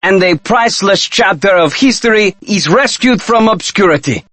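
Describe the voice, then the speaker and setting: Proud, higher-voiced Mexican diplomat. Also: male voice, animated, normal speed, a bit bright, no echo. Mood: formal, explanatory. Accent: Mexican Spanish. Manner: formal, precise, theatrical diction. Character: proud, indignant Mexican male diplomat, formal and crusading. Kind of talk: video game character dialogue.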